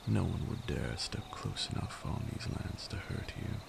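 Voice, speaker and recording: A man's voice: sing-song, noisy background, exaggerated voice. A man playing an ancient, brooding vampire lord in an intimate ASMR roleplay, seductive and menacing, with an archaic, commanding way of talking. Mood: calm, brooding. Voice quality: deep gravelly voice, breathy at times. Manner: archaic, deliberate, teasing and commanding.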